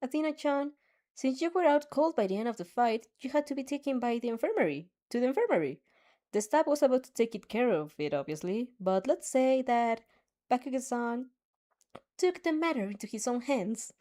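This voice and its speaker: A woman reading the lines of a gentle, kind teenage girl in a fan audio story, speaking softly. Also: fairly high pitch, expressive, brisk, lightly acted. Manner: gentle, soft-spoken.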